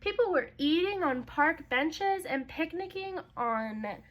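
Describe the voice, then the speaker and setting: A woman's voice: very high voice, animated, slow. A young woman hosting a read-aloud for young children, speaking in an informal, chatty way. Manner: informal, chatty, addressing kids as "you guys". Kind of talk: casual intro talk and storybook read-aloud for young kids.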